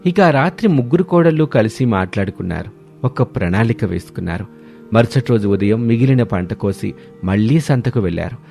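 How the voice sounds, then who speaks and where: A man's voice: on the low side, lively intonation, brisk, gravelly, warm tone. A man reading scripted narration of a moral story as the storyteller. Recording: music behind the voice. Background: background music.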